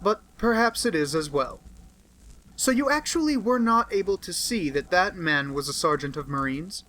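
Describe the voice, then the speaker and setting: A man's voice: fairly high pitch, a bit bright, rough recording. A man voicing an aloof, brilliant male detective in an audiobook, cool and clipped in his speech.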